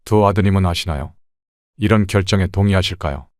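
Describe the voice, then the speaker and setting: A man's voice: low-pitched, a little fast, darkish tone, no echo, clean studio sound, close to an everyday voice. A man voicing a cautious, polite legal scrivener in an audio drama, asking questions with care. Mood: concerned. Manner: polite and careful. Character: cautious middle-aged male legal scrivener.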